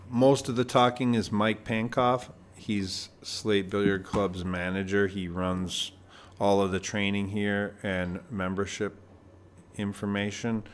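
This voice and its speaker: Co-commentator, male, lowish soft voice, chatty and friendly banter, faint hum behind. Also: level tone, slow pace. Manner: chatty, laughs easily, soft-spoken. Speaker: club owner and co-commentator. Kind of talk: live pool match commentary.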